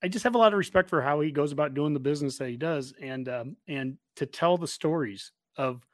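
A man's voice: a little high, fairly quick, no room sound, studio quality. A man talking casually and effusively as a sports podcast host, full of enthusiasm for his subject.

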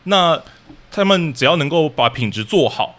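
A man's voice: on the high side, lively intonation, normal speed, a bit bright. A man with a Taiwanese accent answering as an interview guest, explaining things casually in careful, qualified statements. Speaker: podcast guest, radio drama troupe leader.

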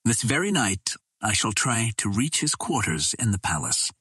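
A man's voice: fairly low pitch, very animated, normal speed, sharp tone, husky, no room sound, studio quality, heavily acted. A man voicing a passionate, loyal and proud Martian warrior, making earnest, dramatic declarations in an audiobook story. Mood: solemn, resolved.

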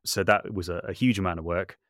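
A man's voice: deep voice, steady tone, fast talker, fairly bright tone, dry recording, studio quality. A man chatting casually as an interview guest, self-deprecating and talkative, often restarting his sentences. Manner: chatty, self-deprecating, hesitant with false starts. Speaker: podcast guest, composer and voice artist.

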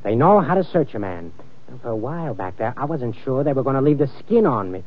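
An actor playing a shrewd, scheming crook in an old-time radio crime drama, talking in a folksy, persuasive tough way. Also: male voice, mid-range voice, big pitch swings, a little fast. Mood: grumbling, easy. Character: shrewd, scheming crook nicknamed Colonel, a man. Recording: dull old radio recording.

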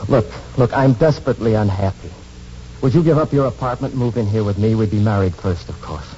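An actor playing a lonely, disfigured and desperately unhappy man in 1940s radio drama, earnest, halting and pleading. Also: lowish voice, dark tone, a little hiss or hum, close to an everyday voice. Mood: anxious, pleading. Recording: muffled vintage radio broadcast with music.

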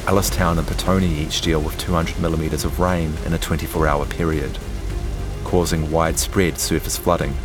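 A man reading scripted documentary narration in a measured, serious storytelling manner. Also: rumbling low, level tone, music in the background. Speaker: journalist narrator.

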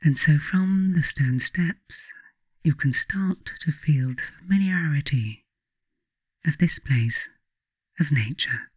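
A woman reading her own reflective self-help writing as scripted narration, in measured, deliberate phrases with frequent pauses. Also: sits low, expressive, breathy edge, no echo. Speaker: author reading own book, guided meditation narrator. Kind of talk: scripted audiobook narration, reflective guided meditation.

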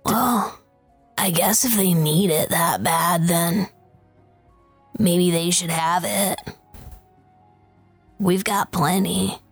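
A woman with a husky voice, playing in a tabletop role-playing podcast and chatting casually in character. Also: fairly low pitch, extremely slow, pauses often, no echo, faint music behind. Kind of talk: casual in-character game chat.

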